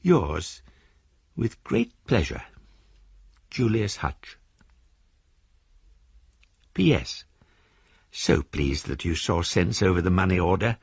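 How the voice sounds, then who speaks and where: Husky, creaky elderly eccentric. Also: male voice, low voice, very animated, slow pace, pauses often, no echo, highs cut off, full character voice. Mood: fond, courteous. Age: older. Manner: pedantic, mischievously formal, genteel. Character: Julius Hutch, elderly eccentric maverick, wryly courteous letter writer. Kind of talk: radio drama monologue, reading a letter aloud.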